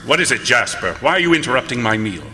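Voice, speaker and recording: An actor playing a sinister, genteel master of a ruined mansion, theatrically courteous with imperious snaps, in old-time radio mystery style. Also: male voice, mid-range voice, sing-song, fast talker, bright, forceful tone. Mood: angry. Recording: long hall-like reverb on the voice.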